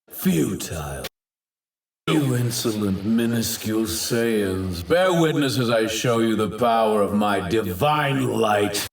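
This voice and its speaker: An actor playing an arrogant, self-righteous villain god in an anime dub, declaiming in a grandiose, archaic and theatrical manner. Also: male voice, lowish voice, vocal fry, full character voice. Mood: grandiose, solemn. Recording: roomy, distant, faint effects noise.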